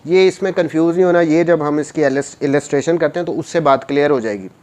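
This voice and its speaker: A man's voice: on the high side, fast, darkish tone. A man with a Pakistani accent lecturing on written rules, explaining them in a didactic, informal way and rephrasing points simply. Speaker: law lecturer.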